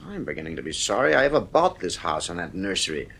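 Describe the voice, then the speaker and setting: A man's voice: low voice, big pitch swings, fast, fairly bright tone, slightly rough, full character voice. An actor playing a stern, exasperated middle-aged father in a 1950s radio drama, speaking in a firm, clipped, authoritative way. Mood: worried, irritated.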